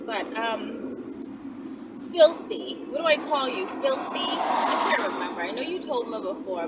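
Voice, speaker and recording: A woman vlogger chatting casually and playfully to her followers, rambling and emphatic, often checking in with "right?". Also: on the high side. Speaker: vlogger answering viewer questions. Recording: noisy vehicle ambience, distant mic.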